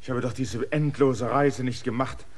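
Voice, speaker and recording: An actor playing a stubborn land surveyor in a radio play, insistent and emphatic. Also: male voice, medium pitch, steady tone, moderate pace, natural voice.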